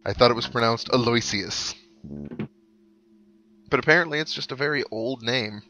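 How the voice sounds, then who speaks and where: A man's voice: mid-range voice, moderate pace, bright, forceful tone. A man giving casual, wry let's play commentary with sarcastic asides. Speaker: gaming video host. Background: game music.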